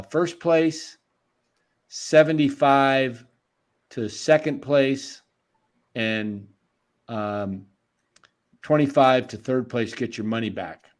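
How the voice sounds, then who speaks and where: A man hosting a casual live-stream sports chat, talking to viewers and reading the chat aloud. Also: mid-range voice. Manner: chatty, reads chat aloud, laughs easily.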